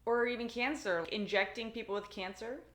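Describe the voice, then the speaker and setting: A woman's voice: on the high side, a little fast, fairly bright tone. A woman co-hosting a casual book club podcast, thinking aloud in a chatty way. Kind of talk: casual chat.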